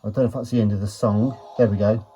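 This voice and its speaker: A man giving an unhurried, low-key, matter-of-fact commentary as he works on a gadget, muttering to himself at times. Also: steady tone, warm tone, husky. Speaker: retro electronics hobbyist presenter. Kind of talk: casual hands-on teardown commentary.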